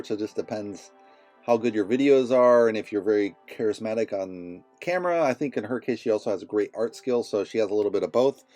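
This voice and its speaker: A man's voice: medium pitch, warm tone. A man in his forties hosting a casual art reaction video from a small home studio, chatty and laughing often. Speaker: reaction channel host. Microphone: headphones on, webcam framing.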